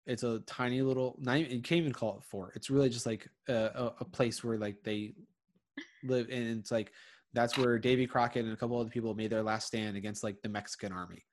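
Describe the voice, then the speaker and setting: A man's voice: mid-range voice, fairly even intonation, brisk. A man in his thirties from Connecticut, chatting casually and rambling with many fillers and restarts. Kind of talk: casual chat.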